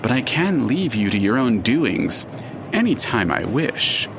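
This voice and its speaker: An actor in an audio drama playing a haughty, fussy, all-seeing narrator spirit with precise, florid and slightly hesitant delivery. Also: male voice, mid-range voice, big pitch swings, measured pace, gravelly, background noise. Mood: haughty, prickly. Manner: pompous, precise, faintly hesitant, florid wording. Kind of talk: full-cast audio drama acting.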